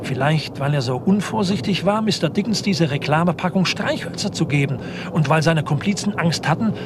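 A man's voice: fairly high pitch, expressive, brisk, natural voice. An actor playing an eager, deferential yet grumbling detective's assistant in a 1960s German radio crime drama, often hesitating as he thinks aloud. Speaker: detective's subordinate. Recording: noisy old radio play recording.

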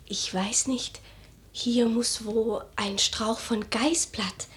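Slow, wistful young maidservant. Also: female voice, fairly high pitch, fairly even intonation, fairly bright tone. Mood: wistful, homesick. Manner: wistful, plaintive. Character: young lovesick maidservant, homesick, tearful, wistful. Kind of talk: theatrical German radio play acting.

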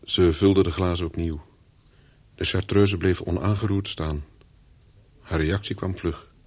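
An actor playing a calculating, persuasive young spy in a radio play, with terse, controlled speech and a husky voice. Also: male voice, low voice, fairly even intonation, unhurried, a little mellow. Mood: reflective narration. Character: calculating young male spy, persuasive, looking back as narrator. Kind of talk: radio play dialogue and first-person narration.

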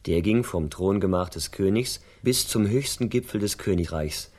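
A man's voice: deep voice, normal speed, darkish tone. A man giving scripted storybook narration in a radio play.

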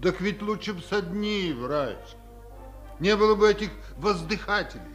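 A man's voice: high voice, lively intonation, moderate pace, fairly bright tone, faint music behind. An actor playing a fussy, officious but fatherly army doctor in a 1950s Soviet radio play. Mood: exasperated lecturing.

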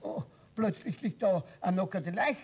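High, husky, fearful Bavarian caretaker. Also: male voice, a little slow, darkish tone, full character voice. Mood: panicky. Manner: fretful, repeating himself. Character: fearful, gossipy male caretaker with lurid imagination. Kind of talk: children's radio play acting.

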